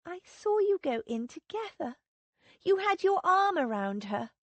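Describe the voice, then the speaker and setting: Anxious young wife in a storybook, read by a woman; high, tearful, dry close sound. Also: very animated, a little slow, no echo, muffled recording, full character voice. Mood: accusing. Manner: tearful, pleading, rising pitch.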